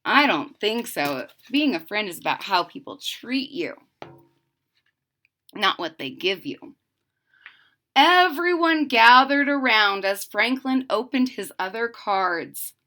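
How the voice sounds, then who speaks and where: High, bright, unhurried woman reading to children. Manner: expressive, simple explaining for young children. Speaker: storybook reader. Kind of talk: read-aloud of a children's picture book with casual asides.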